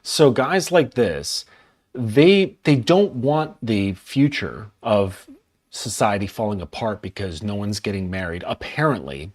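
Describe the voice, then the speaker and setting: A man hosting a reaction commentary, blunt, sarcastic and profane as he picks apart a video. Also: lowish voice. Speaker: dating coach and channel host.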